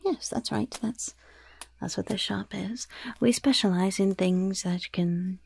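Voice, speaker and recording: A woman voicing a mischievous goblin shopkeeper in a breathy whisper, with coy, unhurried sales patter in an ASMR roleplay. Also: medium pitch, a little mellow. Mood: sly, welcoming. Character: mischievous female goblin shopkeeper selling poisons and curses.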